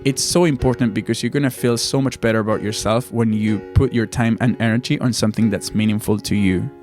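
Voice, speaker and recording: A man's voice: on the low side, fairly even intonation, a little fast, darkish tone, faint music behind. A young man from Argentina, a native Spanish speaker, giving casual advice to viewers as a video host.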